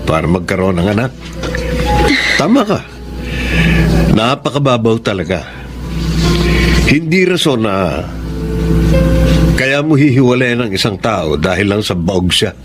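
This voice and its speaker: A man's voice: on the low side, big pitch swings, measured pace, long pauses. A man acting a kind, attentive brother-in-law in a Filipino radio drama, speaking earnestly and reassuringly with a Filipino accent and occasional English phrases. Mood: consoling, earnest. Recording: radio drama with music underneath.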